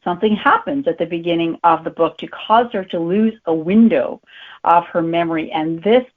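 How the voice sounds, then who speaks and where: A woman's voice: lowish voice, normal speed. A woman talking casually as an author being interviewed. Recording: tinny phone-like line.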